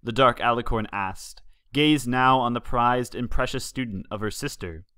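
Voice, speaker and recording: A man's voice: mid-range voice, normal speed, pushed and bright, clean tone, dry recording, studio quality. A man reading a story aloud as a narrator, in scripted audiobook style. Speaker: fan fiction reader, narrator.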